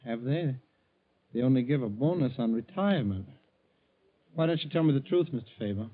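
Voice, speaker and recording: An actor playing a nervous, scheming middle-aged embezzler who hesitates and stammers, in 1940s radio style. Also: male voice, mid-range voice, lively intonation, brisk, close to an everyday voice. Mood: anxious. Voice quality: hesitant, stammering under pressure. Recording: tinny, band-limited 1940s radio recording.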